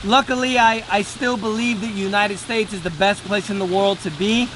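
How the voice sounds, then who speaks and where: A man's voice: high-pitched, fairly even intonation, normal speed, noisy background. A man giving a casual, earnest motivational talk to camera, repeating words for emphasis. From Venezuela. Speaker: day trader and trading teacher.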